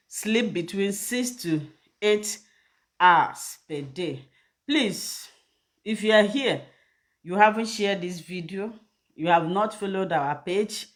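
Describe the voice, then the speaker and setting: A middle-aged woman from Nigeria with a Nigerian accent, whose first language is Igbo, giving health advice in a loose, repetitive live chat and speaking straight to her viewers. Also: on the low side. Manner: rambling, repeats phrases, direct appeals to viewers. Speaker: health channel host. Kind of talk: casual live video talk.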